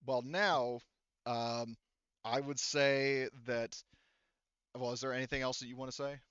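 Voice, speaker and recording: A man running a tabletop roleplaying game as game master, explaining story and rules in a halting, informal way. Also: medium pitch, steady tone, a bit bright, smooth, dry recording, muffled recording. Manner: halting, hedging, informal and profane.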